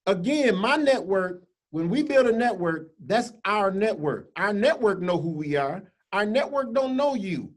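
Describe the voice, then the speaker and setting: A man's voice: high voice, very animated, measured pace. Man, co-host, joking, folksy phrasing, video call audio. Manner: joking, folksy Southern-style dialect phrasing. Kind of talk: casual banter and slide reading.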